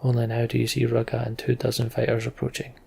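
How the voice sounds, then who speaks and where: Gravelly male game narrator. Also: mid-range voice, completely flat delivery, quick pace, warm tone, a little hiss or hum. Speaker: let's player. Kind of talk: dramatic storybook narration of game text.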